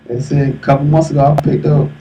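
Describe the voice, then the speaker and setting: A man in his late twenties banters casually as a stream co-host, full of slang. His voice sits away from the mic, with clear noise around it. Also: a little high, little pitch movement, fast talker. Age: late 20s. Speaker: co-host of a live streamed talk show. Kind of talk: casual chat. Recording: noisy, distant live stream mic.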